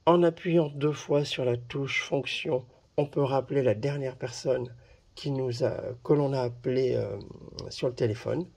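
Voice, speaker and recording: A man presenting a product in a casual hands-on demonstration, talking haltingly with pauses as he handles things. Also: medium pitch, creaky, darkish tone, husky. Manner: halting, pausing while handling items. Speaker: video presenter reviewing a product.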